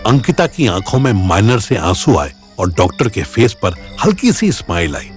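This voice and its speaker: A man's voice: lowish voice, sing-song, moderate pace, faint music behind. A man with an Indian accent narrating a scripted dramatic audio story.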